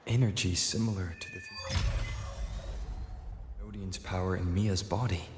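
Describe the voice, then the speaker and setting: A man playing a calm, serious young adventurer hero in a video game. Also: low-pitched, normal speed, music in the background, lightly acted.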